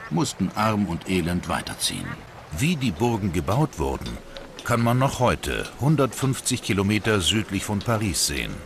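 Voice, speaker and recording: A man's voice: lowish voice, noisy background. A man reading scripted documentary narration as the narrator. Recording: voice over background music. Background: music.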